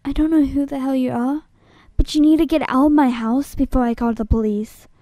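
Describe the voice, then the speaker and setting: A woman acting a bewildered, defensive girl in an amateur audition for a drama series, with dramatic, deliberate delivery. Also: high voice, fairly even intonation, warm tone, no echo, natural voice. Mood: alarmed, angry.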